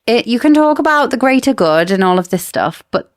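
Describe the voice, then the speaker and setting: A woman's voice: a little high, lively intonation, a little fast, no room sound, studio quality. A woman co-hosting a book discussion podcast, talking casually in an inquisitive, opinionated way. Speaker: podcast co-host.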